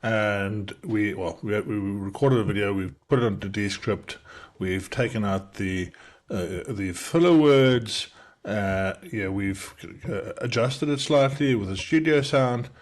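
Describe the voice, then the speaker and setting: A middle-aged South African man, his accent softened by years in the UK, walks through a software tutorial in casual talk. He talks fast, with many restarts and fillers. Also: fairly low pitch. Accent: South African, softened by decades in the UK. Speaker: entrepreneur demonstrating software. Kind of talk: casual screen-share tutorial. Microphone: webcam in a small circle over the screen recording.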